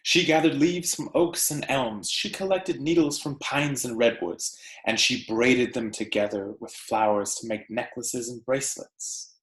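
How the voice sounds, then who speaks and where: A man teacher reading aloud to children, with deliberate storybook phrasing and casual delivery. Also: medium pitch, a bit bright, roomy sound.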